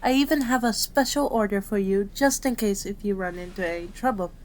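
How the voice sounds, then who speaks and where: A woman's voice: mid-range voice, fairly quick, a little mellow, close to an everyday voice. A woman playing a cute, caring fox-spirit doctor in an ASMR roleplay, prim, chiding and easily flustered. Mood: kindly.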